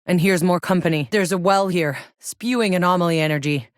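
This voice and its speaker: Casting: actress as hardened female sci-fi game soldier, lowish register, wry and blunt, very dry studio sound. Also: a little fast, dry recording, natural voice. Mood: matter-of-fact, focused. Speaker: video game protagonist. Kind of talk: video game voice lines.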